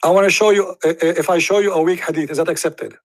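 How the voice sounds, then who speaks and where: A man's voice: on the high side, fairly even intonation, rapid-fire, no echo. A man hosting a confrontational debate, pressing and sarcastic, cross-examining his guest. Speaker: debate host.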